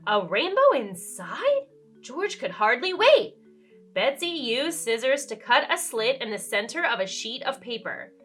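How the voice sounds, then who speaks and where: A woman's voice: a little high, expressive, moderate pace, fairly bright tone, faint music behind. A woman storyteller reading a children's book aloud expressively, with dramatic emphasis and questions to the listener.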